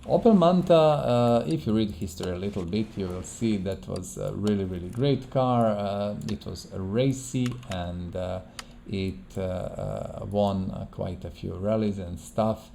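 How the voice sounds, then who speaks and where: Low, gravelly non-native male game commentator. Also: a little slow, mellow, a little hiss or hum. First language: not English. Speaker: gaming video host. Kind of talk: casual playthrough commentary.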